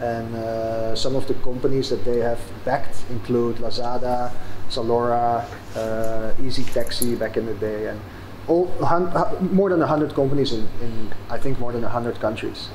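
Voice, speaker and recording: A man, a founder answering interview questions in a thoughtful, halting way. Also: medium pitch, fairly even intonation, darkish tone, a little hiss or hum, rough recording. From Netherlands. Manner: thoughtful, many fillers and restarts. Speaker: startup founder and guest.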